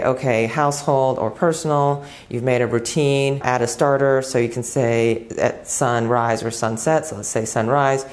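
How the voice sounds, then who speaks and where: A woman's voice: a bass voice, darkish tone. A woman presenting a step-by-step tech tutorial and product demo in a plain-spoken, instructional manner.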